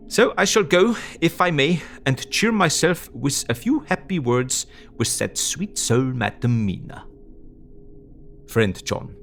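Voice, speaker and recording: An actor playing a learned, courtly older doctor in an audio drama, speaking earnestly in quaint, deliberate phrasing. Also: male voice, mid-range voice. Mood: tender, earnest. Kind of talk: dramatised diary narration.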